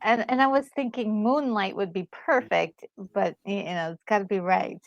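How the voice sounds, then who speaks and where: A woman's voice: mid-range voice, normal speed, gravelly, dull audio. A woman co-hosting a game playthrough, musing aloud in casual, hesitant reaction commentary. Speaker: gaming co-host. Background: game music.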